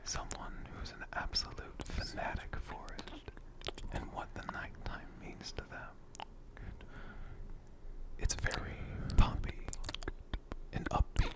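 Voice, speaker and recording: A man speaking as an ASMR host in a soft, gentle, hushed whisper, taking his time. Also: slow pace, long pauses, poor audio.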